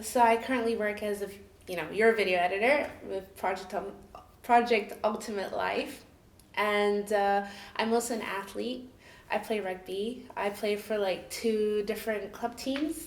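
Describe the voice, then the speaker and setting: A woman in her thirties, a guest on a chat podcast, tells her story in a loose, chatty way. She fills her talk with "like" and "you know" and laughs easily. Her voice sits in a middle pitch and rings in an echoey room. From Cameroon, raised in the UAE. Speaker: interview guest. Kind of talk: casual personal storytelling.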